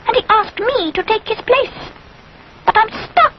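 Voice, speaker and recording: A tiny, indignant bird acted by a woman for a storybook. It chirps away stubbornly in a high, lively voice on a slightly muffled recording. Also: a little slow, heavy background noise. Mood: chirpy. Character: cross, chirpy little bird with stubborn temper.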